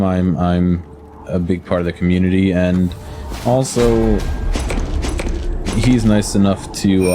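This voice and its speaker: Low-voiced male game streamer with a lisp. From United States. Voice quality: slight lisp. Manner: rambling, answers chat, hesitant fillers. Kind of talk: casual game-stream commentary. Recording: game music playing behind.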